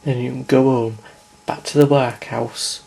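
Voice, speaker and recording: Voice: medium pitch, steady tone, unhurried, vocal fry, mellow, breathy edge, rough recording. A performer voicing a stern, bossy older woman who coldly dismisses a girl. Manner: harsh, cold, dismissive. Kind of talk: homemade audio drama.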